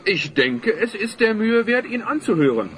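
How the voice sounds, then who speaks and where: A respected gentleman in an audio drama, reasonable and formal. The voice is rather high and forceful, with faint noise in the background. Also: sing-song. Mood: calm, authoritative. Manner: measured, formal, emphatic. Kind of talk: German audio drama acting.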